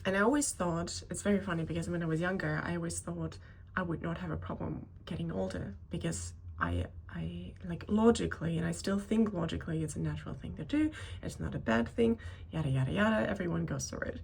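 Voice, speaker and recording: A thirty-something woman talks to her viewers in an unscripted vlog. Her voice sits a little low and is full of vocal fry. She rambles hesitantly, correcting herself as she goes, over faint background noise. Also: fairly quick, hardly any pauses, darkish tone. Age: 30s. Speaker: video creator talking to viewers. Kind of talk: unscripted personal vlog monologue.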